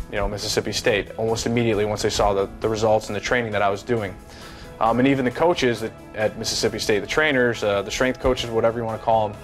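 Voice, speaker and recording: A young man in his twenties, a former pro baseball player, answering interview questions in a high-rise office, halting with many fillers and restarts. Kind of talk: seated interview, casual recollection.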